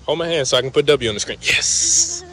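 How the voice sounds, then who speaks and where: A man's voice: a little high, huge pitch swings, brisk, a bit bright. A young man vlogging on a jet ski, teasing and joking in slangy casual talk, filmed on a handheld action camera outdoors. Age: young adult. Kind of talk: casual vlog chat.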